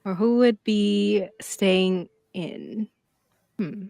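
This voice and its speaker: A woman game master improvising narration for a tabletop roleplaying game, gentle, halting and encouraging. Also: medium pitch, creaky, no room sound.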